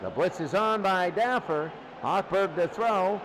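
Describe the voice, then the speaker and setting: A high-voiced man does live football play-by-play as the game's commentator. The recording carries clear background noise behind his calls. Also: a little slow.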